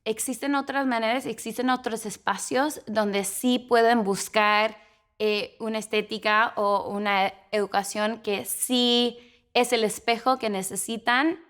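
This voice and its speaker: Young woman doctoral student, smooth clear voice. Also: on the high side, fairly even intonation, measured pace, fairly bright tone. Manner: earnest, fillers and self-corrections. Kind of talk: conversational academic discussion.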